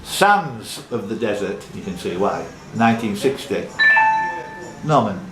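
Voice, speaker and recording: A man's voice: on the low side, expressive, a little slow. Man hosting a pub quiz, echoey room. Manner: chatty, teasing banter with contestants. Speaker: quiz host. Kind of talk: live pub quiz hosting, reading questions. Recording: roomy echo, music in background.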